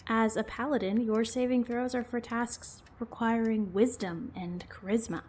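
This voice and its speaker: A woman's voice: a little high, fairly even intonation, normal speed, darkish tone, a little hiss or hum, close to an everyday voice. A woman playing a matter-of-fact dungeon master explaining rules firmly in a comedy audio drama. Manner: explanatory, dryly firm. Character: dry, matter-of-fact female tabletop game dungeon master.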